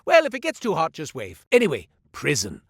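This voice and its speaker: A man's voice: a little high, huge pitch swings, fairly quick, no echo, clean studio sound. A man voicing a chatty, eccentric male merchant in a game, telling tall tales with comic asides in a theatrical manner. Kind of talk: scripted game voice lines.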